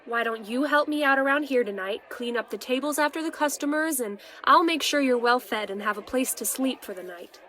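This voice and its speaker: Woman playing a high-voiced motherly tavern keeper. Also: lively intonation, fairly quick, hardly any pauses, bright, forceful tone. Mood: kindly. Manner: motherly, affectionate, chatty. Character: motherly, teasing aged female tavern keeper. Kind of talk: fantasy audio drama acting.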